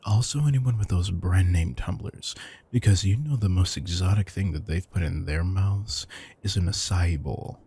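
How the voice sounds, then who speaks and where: A man playing a retired supervillain turned caring, thrifty house husband in an intimate audio roleplay, smug, dry and affectionate, with a husky, gravelly voice. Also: deep voice, moderate pace, mellow, heavily acted. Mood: scheming, smug.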